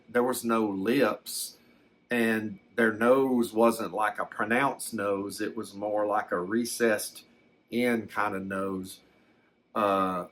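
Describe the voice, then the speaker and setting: A man's voice: fairly low pitch, a bit bright. A man playing a folksy, plain-spoken country fellow who hesitantly recounts his alien abduction as an ASMR story. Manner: hesitant, halting, plain-spoken rural phrasing. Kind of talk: slow ASMR first-person storytelling.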